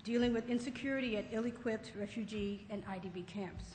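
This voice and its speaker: A woman's voice: a little high, brisk, a little mellow. Formal woman moderator in an echoey hall. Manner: formal, read-aloud. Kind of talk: formal session introduction. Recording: roomy echoey hall with faint noise.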